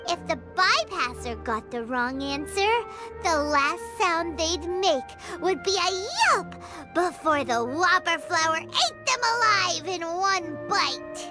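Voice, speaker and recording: A woman voicing a small, excitable and easily spooked fairy girl in a video game, with a squeaky childlike voice and theatrical delivery. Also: slow pace, exaggerated voice. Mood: spooky storytelling. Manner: theatrical, refers to self in third person. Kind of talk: animated video game dialogue acting. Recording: game music behind the voice.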